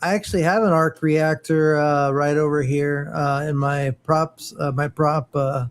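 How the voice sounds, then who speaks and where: Higher-pitched man chatting enthusiastically. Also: fairly even intonation, a little slow, clean tone. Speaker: co-host of a morning show. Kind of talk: casual geeky chat.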